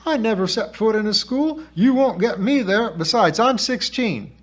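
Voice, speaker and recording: Male narrator as scrawny twelve-year-old girl, high whiny voice, brash, scornful, dropped aitches, storybook character. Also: expressive, moderate pace. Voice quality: hollow, whiny.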